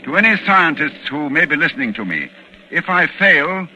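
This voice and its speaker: An actor playing a grave, anxious scholarly doctor battling a monster, urgent and dramatic in 1940s radio style. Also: male voice, on the high side, big pitch swings, normal speed, bright, forceful tone, heavily acted, low-quality recording. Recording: vintage 1940s radio recording with music.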